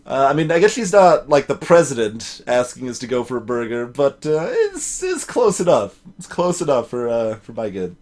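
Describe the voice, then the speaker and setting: A man's voice: mid-range voice, huge pitch swings, normal speed, hardly any pauses, a little mellow. A man hosting a let's play with casual commentary, reading captions aloud and adding wry, rambling asides.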